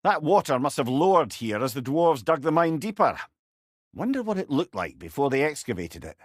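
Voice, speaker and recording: A man's voice: on the high side, normal speed, no room sound, studio quality. A man playing a witty old talking severed head, a wise counsellor with a Scottish accent and an eloquent, wry manner in a video game. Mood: explaining, curious. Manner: wry, eloquent, animated storytelling. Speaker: companion character in an action game. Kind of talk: video game character voice lines.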